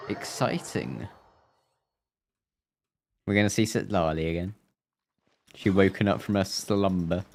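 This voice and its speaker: A man's voice: low-pitched, steady tone, a little slow, pauses often. A man giving casual, offhand commentary on a game livestream, with muttered asides. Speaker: streamer.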